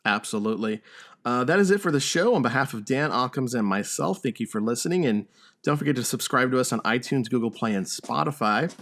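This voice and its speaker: A man's voice: mid-range voice, animated, brisk, fairly bright tone. Male, podcast host, casual conversational delivery, mid-range voice, loose and sweary. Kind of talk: casual podcast chat about games and film.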